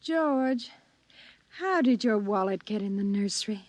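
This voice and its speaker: An American woman acting an anxious, gentle wife and mother in a 1950s radio drama. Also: medium pitch, expressive, measured pace, no echo, close to an everyday voice. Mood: alarmed.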